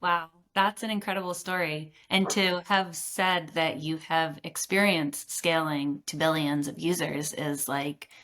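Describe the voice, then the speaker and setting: A woman hosts an interview, her voice sitting a bit low for a woman. She asks her questions in an upbeat, enthusiastic way. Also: steady tone, unhurried, few pauses, a bit bright. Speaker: interview host. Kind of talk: friendly scripted interview questions.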